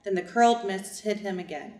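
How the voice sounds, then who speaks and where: A woman's voice: medium pitch, brisk, a little mellow, close to an everyday voice. A woman reading the part of a devoted family servant who narrates a story in a scripted staged reading. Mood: earnest storytelling. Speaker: reader's theater narrator. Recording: echoey room.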